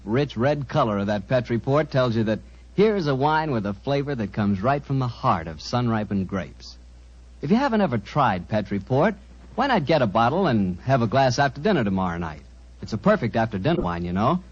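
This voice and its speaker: A man's voice: mid-range voice, husky. An American man announcing in 1940s radio style, with a folksy, polished sales manner. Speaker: radio announcer and sponsor pitchman. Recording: dull 1940s radio broadcast recording.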